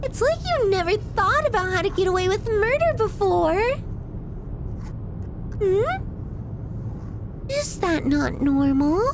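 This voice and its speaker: Unhinged possessive yandere girl, high-pitched. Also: heavily acted. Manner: theatrical, teasing, drawn-out phrasing with menacing sweetness. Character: sadistic, possessive, unhinged young yandere woman on the run. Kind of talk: intimate ASMR audio roleplay, addressing the listener. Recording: rumbling low background noise.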